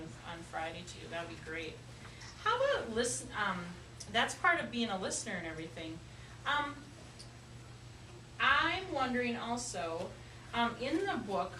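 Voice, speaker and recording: A woman's voice: medium pitch, far from the mic. Woman teacher in a noisy, roomy classroom. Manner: encouraging, questioning, hesitant phrasing with pauses. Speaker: elementary school teacher. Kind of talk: classroom lesson talk to young children. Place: indoor classroom.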